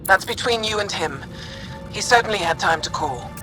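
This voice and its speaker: An actress playing a firm, guarded, businesslike operative in a sci-fi video game. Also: female voice, mid-range voice, animated, normal speed, over music, lightly acted, rough recording. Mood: guarded, apologetic.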